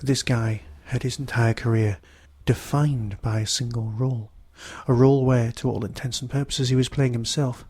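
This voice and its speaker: A man hosting a podcast alone, talking to listeners in a reviewing style, his voice hoarse from a throat infection. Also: mid-range voice, fairly even intonation, normal speed, few pauses, a little mellow, no room sound. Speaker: podcast host. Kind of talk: solo review talk to listeners.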